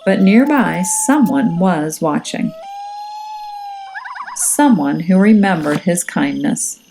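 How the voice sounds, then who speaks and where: A woman reading a children's story aloud as a teacher, in a measured, expressive and suspenseful way. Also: on the low side, vocal fry, a little hiss or hum, low-quality recording. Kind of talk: dramatic children's storybook narration. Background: music and nature sounds.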